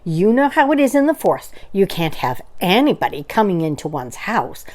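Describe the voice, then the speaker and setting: A woman's voice: medium pitch, very animated, normal speed, darkish tone, lightly acted. A woman voicing a fussy, cautious male rabbit in a prim, careful storybook voice. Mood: polite.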